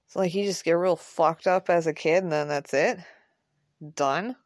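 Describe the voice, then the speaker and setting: A woman's voice: lowish voice, normal speed, a little mellow, dry recording, studio quality, lightly acted. Woman playing a blunt, foul-mouthed, protective guy. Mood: pensive. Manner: rambling, profane, emphatic. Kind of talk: naturalistic audio drama dialogue.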